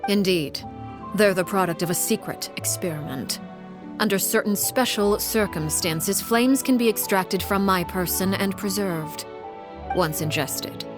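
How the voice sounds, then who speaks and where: A woman playing a cold, commanding leader known as a father figure, speaking in a deliberate, formal, controlled way in a video game. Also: lowish voice, music in the background, natural voice. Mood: cold, solemn.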